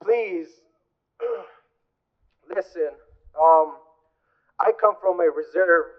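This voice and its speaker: A young man giving an earnest, deliberate public speech as a youth representative. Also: fairly high pitch. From Cross Lake, Manitoba, Canada. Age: young adult. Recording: muffled, phone-like event recording.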